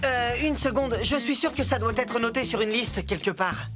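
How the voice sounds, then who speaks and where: A woman's voice: a little high, moderate pace, lightly acted. A woman voicing an eager, scatterbrained young male apprentice builder, hesitant and flustered, in a dubbed children's cartoon. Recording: music behind the voice.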